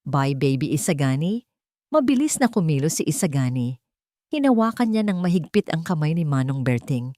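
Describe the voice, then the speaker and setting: A woman's voice: sits low, very animated, fairly quick, dark tone, no room sound, studio quality. A woman with a Filipino accent narrating a dramatic scripted story. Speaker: narrator of love stories.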